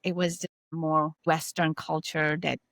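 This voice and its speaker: A lowish-voiced woman in her forties speaks with a Turkish-German accent. She talks casually and emphatically, often repeating a point. Her voice is close and dry, with no room sound. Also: slow, creaky, no room sound. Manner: animated, emphatic, repeats herself. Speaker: podcast host. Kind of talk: casual podcast conversation.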